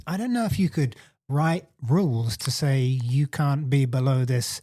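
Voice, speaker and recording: A man's voice: mid-range voice, measured pace, mellow. A man co-hosting a cycling podcast, giving his opinion in casual chat and restarting his phrases as he goes. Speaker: podcast co-host.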